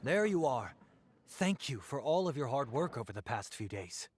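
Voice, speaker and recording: A man's voice: medium pitch, sing-song, normal speed, a little hiss or hum, close to an everyday voice. A man voicing a courteous male host who thanks the guests politely. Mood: grateful.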